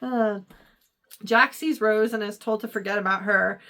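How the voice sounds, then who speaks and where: A woman's voice: medium pitch, fairly even intonation, moderate pace, pushed and bright. Woman podcast cohost recapping a movie plot, chatty and giggly, lots of likes and uhs. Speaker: podcast co-host. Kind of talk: casual movie review chat, recapping plot.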